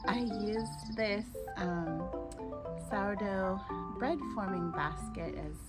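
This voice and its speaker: A woman vlogging casually from her backyard garden, a little hoarse from a cold, chatting gently as she arranges flowers. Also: mid-range voice. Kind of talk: casual garden vlog, demonstrating.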